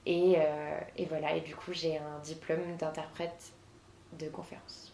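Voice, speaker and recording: A woman's voice: low voice, little pitch movement, measured pace, gravelly, darkish tone, echoey room, a little hiss or hum. A woman in her twenties from southern France, answering interview questions as a guest with many hesitations. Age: 20s.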